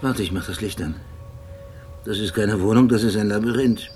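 Actor as low-voiced brooding police detective. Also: male voice, natural voice. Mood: low-key, insistent. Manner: deliberate, philosophical, precise. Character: obsessive, brooding male police detective and narrator. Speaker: actor in a radio drama. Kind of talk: radio play acting and literary monologue. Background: music.

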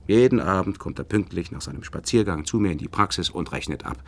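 A man's voice: low-pitched, lively intonation, quick pace, creaky, full character voice. A man in a German radio play, playing an educated, polite nerve doctor who speaks formally and a little hesitantly. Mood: measured. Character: educated male nerve doctor, courteous, measured, mildly sceptical. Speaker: actor in a radio drama. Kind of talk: German radio play acting, recounting and dialogue.

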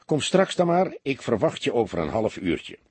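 A man voicing a weary, dutiful veteran police detective with a dry, deadpan and pensive manner, in an audiobook. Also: mid-range voice, moderate pace, slightly rough, dry recording.

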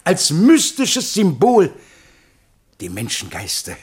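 An actor playing a pompous, long-winded scholar who lectures in florid style in a radio play, with a husky voice. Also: male voice, sits high, huge pitch swings, takes it slowly, exaggerated voice. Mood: grandiose, solemn. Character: pompous, long-winded male scholar showing off paintings to a prince. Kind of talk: German radio play acting.

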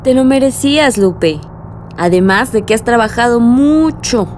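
An actress plays an earnest young Mexican woman in a radio drama. She sounds warm and sincere in a fairly high voice, over faint vehicle noise. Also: unhurried, natural voice. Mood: fond, earnest. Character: earnest, hopeful young woman engineer coming home.

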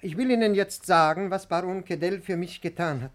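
A gentle, grateful fugitive, played by a male actor in a vintage radio play. His voice is high, and he pleads insistently, saying words over and over. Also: a little fast, lightly acted. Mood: pleading. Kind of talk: 1950s German radio drama acting.

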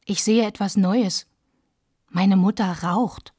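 A woman's voice: medium pitch, fairly even intonation, slow, warm tone, slightly rough, dry recording, studio quality. A woman narrating in the first person in plain, short, childlike sentences for a radio play. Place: dry studio.

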